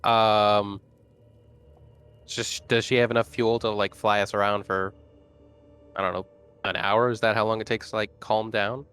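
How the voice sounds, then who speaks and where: A man's voice: lowish voice, flat delivery, unhurried, long pauses, fairly bright tone, clean tone. A man chatting casually as a player in a tabletop roleplaying game.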